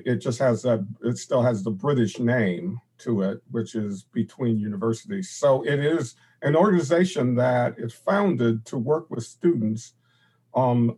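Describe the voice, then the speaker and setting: An older man, a podcast guest, explaining in a halting conversational way and pausing to search for words. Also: mid-range voice, mellow, slightly rough, rough recording.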